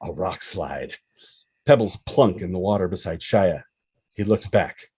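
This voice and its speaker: A man's voice: sits low, lively intonation, unhurried, mellow, breathy edge, no echo. A man narrating a screenplay, reading out scene headings and action descriptions as scripted storytelling.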